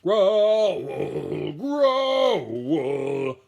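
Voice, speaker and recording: A man voicing a boisterous chorus of storybook zoo animals with loud squawks, honks, growls and trumpets. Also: sits high, big pitch swings, drawn-out words, vocal fry, lo-fi sound, voice pushed up, full character voice.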